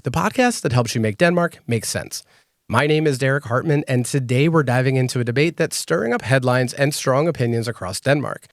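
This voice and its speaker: A man hosting a podcast, laying things out in a clear, measured way. His voice sits at an ordinary male pitch and is recorded close and dry, with no room sound. He sounds scripted but easy to follow. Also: no room sound. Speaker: podcast host. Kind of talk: scripted podcast narration and interview.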